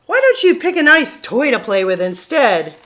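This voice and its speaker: A woman voicing a storybook father who reasons gently and affectionately with his little girl. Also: fairly high pitch, sing-song, normal speed. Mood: coaxing.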